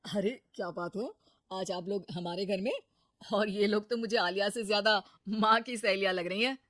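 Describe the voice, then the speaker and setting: A woman's voice: mid-range voice, fairly even intonation, moderate pace, a bit bright, dry recording, studio quality, natural voice. A woman voicing an earnest young married man, a firm but caring son, in an acted story.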